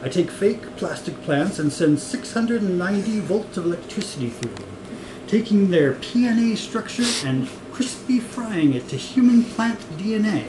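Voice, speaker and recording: A man voicing an excitable, petulant mad scientist with a grandiose, emphatic delivery in a comic storybook reading. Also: high-pitched, normal speed, pitched above the performer's own voice. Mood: boastful. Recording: echoey room with clear noise.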